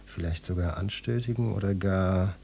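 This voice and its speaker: A husky, pompous police detective. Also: male voice, sits low, normal speed, a little mellow, exaggerated voice. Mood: unctuous, insinuating. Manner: florid, officious, insinuating questioning. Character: pompous, nosy, lecherous police detective interrogating a housewife. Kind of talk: German satirical radio play acting.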